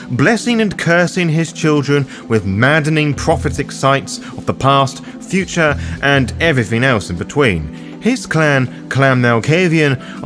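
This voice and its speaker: Man narrating with lively, swinging intonation. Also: mid-range voice. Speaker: host of a roleplaying lore guide series. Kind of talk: scripted narration. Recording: music behind the voice. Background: background music.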